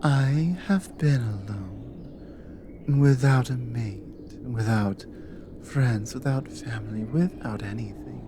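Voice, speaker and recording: Low, slow-speaking lonely cougar guardian played by a woman. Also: background noise, heavily acted. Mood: seductive. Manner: slow, halting, breathy murmurs dropping into whispers. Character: exiled female cougar guardian, lonely, wary, bold, flirtatious. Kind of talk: intimate ASMR roleplay audio drama.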